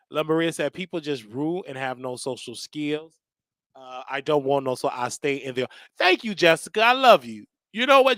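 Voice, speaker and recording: A man in his thirties hosting a casual livestream, ranting in loud, repetitive bursts with sudden shouts. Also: on the high side, no room sound, clean studio sound. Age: 30s. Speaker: show host.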